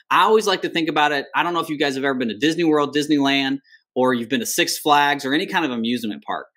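A man in his thirties, a financial advisor, walking viewers through a retirement plan in a relaxed, conversational way, talking with his hands from a home office. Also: mid-range voice. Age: 30s. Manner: conversational, animated with hand gestures. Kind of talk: explaining retirement planning on screen share.

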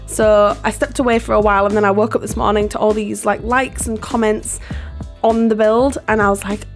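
A hoarse woman, losing her voice, chatting in a rambling, apologetic way over her building video and laughing often. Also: mid-range voice, little pitch movement, a little fast. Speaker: game builder and video host. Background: soft background music.

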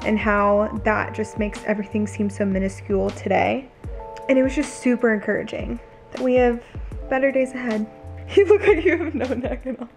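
A woman's voice: on the high side, moderate pace, darkish tone, soft background music. A woman in her twenties, chatting casually to the camera at home, earnest and filled with "like" and "you know". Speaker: lifestyle vlogger. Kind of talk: casual vlog talk to camera. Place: home kitchen and living room couch.

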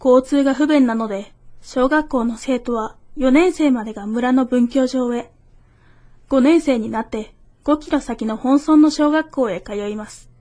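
A woman's voice: high voice, a little slow, dark tone. A woman reader giving a scripted reading of literary prose.

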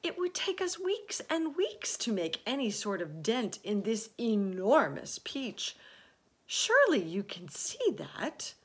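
Storybook young boy, confident, earnest, raised pitch, slow emphatic phrasing, voiced by a woman. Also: wildly swooping pitch, husky, exaggerated voice. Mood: reassuring. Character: confident, patient young boy, practical and reassuring. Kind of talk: children's storybook read aloud.

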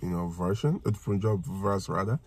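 A man in his thirties from Africa co-hosting a casual reaction video in a parked car, excitable and quick to laugh. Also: slightly rough, dry recording. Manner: excitable, laughs often, jumps high when thrilled. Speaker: reaction channel co-host. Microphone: phone camera on the dashboard.

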